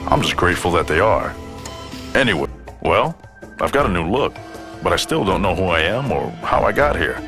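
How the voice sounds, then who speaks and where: A really deep voiced beat up wrestler telling his story, wry and self-deprecating, game cutscene, music behind. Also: big pitch swings, moderate pace. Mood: wry, earnest. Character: battered amnesiac male wrestler, wry and determined. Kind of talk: first-person game cutscene narration.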